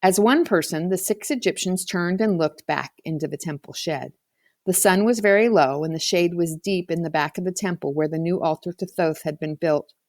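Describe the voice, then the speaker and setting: A woman's voice: lowish voice, normal speed, no echo, studio quality. A woman reading a children's novel aloud as a scripted narrator. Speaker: audiobook reader.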